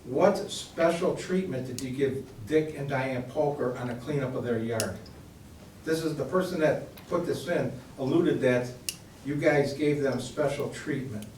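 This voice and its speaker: A man's voice: mid-range voice, a little slow, a little mellow, slight background noise. A man asking blunt questions from his seat at a township meeting. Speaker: resident at public comment. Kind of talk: public comment questions. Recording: echoey room from far off.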